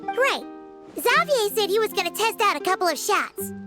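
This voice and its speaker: A woman voicing a tiny, perky, caring fairy companion in a video game, with a squeaky childlike voice and chirpy manner. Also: big pitch swings, normal speed, music in the background. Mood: concerned, friendly. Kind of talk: English video game voice acting.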